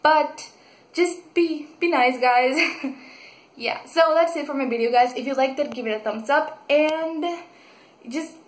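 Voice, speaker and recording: A young woman from Mexico, reviewing a book in a casual vlog, gushing and excitable with many fillers and restarts. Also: sits high, a little slow. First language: Spanish. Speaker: book vlogger. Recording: echoey room.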